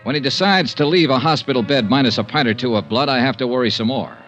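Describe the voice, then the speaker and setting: A man's voice: medium pitch, quick pace, a bit bright, close to an everyday voice. An American actor playing a blunt, world-weary male insurance investigator in a 1950s radio drama, both narrating and speaking in scenes. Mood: wry narration. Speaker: lead actor and narrator of a radio detective serial. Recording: dull band-limited 1950s radio recording.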